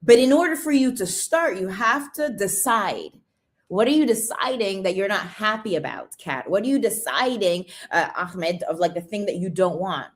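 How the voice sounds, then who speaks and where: A woman's voice: mid-range voice, lively intonation, moderate pace. A woman hosting a motivational livestream, chatting casually and greeting viewers by name. She often tags her points with "right?" and English is not her first language. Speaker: livestream host, supplement seller.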